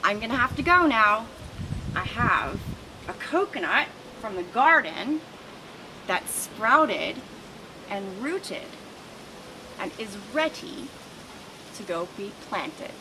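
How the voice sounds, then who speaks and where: Woman reading to kids out in a garden, lively voice, loud traffic behind. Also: medium pitch, takes it slowly, pauses often. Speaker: children's storyteller. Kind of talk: read-aloud for children. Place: outdoor garden. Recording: noisy outdoor recording with traffic.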